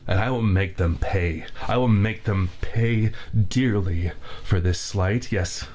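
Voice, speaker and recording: A man's voice: low-pitched, slow pace, a little mellow. A man voicing a pompous middle-aged male torturer with grand, formal villain speech in an ASMR roleplay, recorded on a binaural microphone. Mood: spiteful.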